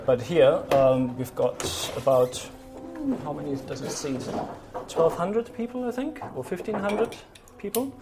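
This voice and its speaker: A man, a sound engineer, explains his work informally and haltingly, thinking aloud as he goes. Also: on the high side, over music. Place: large town hall concert venue. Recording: loud orchestra practice behind the voice. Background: orchestra musicians practising.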